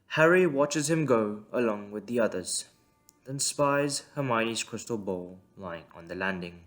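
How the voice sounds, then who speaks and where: A man's voice: mid-range voice, a bit bright. A man reading scripted story narration as the narrator of an audio drama.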